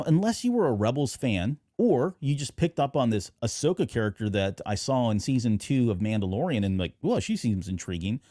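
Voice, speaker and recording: A man's voice: medium pitch, dark tone, no room sound, studio quality. A middle-aged man hosting a fan podcast, talking through his points in a casual, agreeable, discursive way. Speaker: podcast host.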